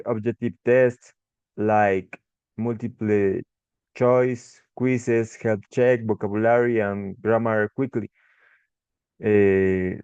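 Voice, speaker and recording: A young man with an Ecuadorian accent talks slowly through a class presentation, stopping to restart words and fill gaps with "uh." His low voice stays flat and smooth. The recording is dry and a little muffled. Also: no echo. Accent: Ecuadorian Spanish. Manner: halting, frequent restarts and fillers. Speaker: English tutor.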